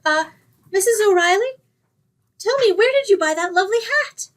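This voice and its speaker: Casting: actress as dizzy, sweet young roommate for radio comedy; extremely high, swooping voice, guileless and earnest. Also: female voice, expressive, moderate pace. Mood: naive, evasive. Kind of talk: old-time radio sitcom acting.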